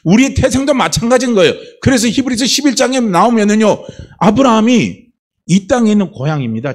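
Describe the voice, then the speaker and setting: Husky-voiced Korean pastor preaching. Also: male voice, on the high side, darkish tone. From Chungcheong, South Korea. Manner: preaching with rhetorical questions. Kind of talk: sermon.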